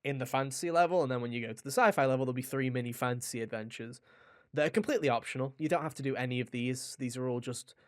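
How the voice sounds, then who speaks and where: A man's voice: mid-range voice, very fast, dry recording, studio quality. A man co-hosting a video game podcast, chatting in an informal, rambling and jokey way. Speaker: podcast co-host reviewing video games. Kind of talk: casual chat.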